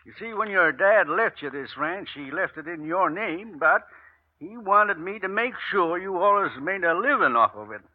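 A folksy old uncle. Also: male voice, high-pitched, animated, normal speed. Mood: discouraged. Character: old uncle in a wheelchair, discouraged. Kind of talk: 1940s radio acting. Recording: dull lo-fi old radio recording.

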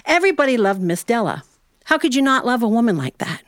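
An American woman telling a humorous personal tale as a storyteller, in a folksy, animated manner with dramatic pauses. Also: on the high side, no room sound, studio quality. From Ohio, United States.

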